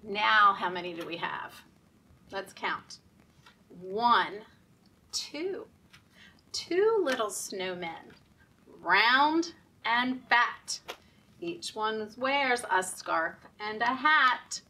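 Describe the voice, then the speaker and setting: A woman leading story time for young children in a sing-song, deliberate, encouraging way, often asking the children questions. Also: fairly high pitch, lively intonation, sharp tone, distant mic, slight background noise, rough recording.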